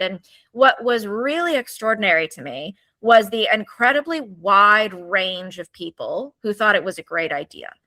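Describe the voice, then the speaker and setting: An American woman, a political candidate, answering interview questions earnestly with many ums and pauses. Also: medium pitch, pushed and bright, no echo. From United States.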